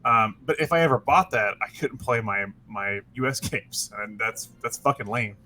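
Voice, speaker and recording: A man co-hosting a casual gaming podcast. He talks in loose, run-on stretches and swears offhandedly. His voice sits at an ordinary middle pitch. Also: normal speed, pushed and bright, rough recording. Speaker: podcast co-host. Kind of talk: casual chat.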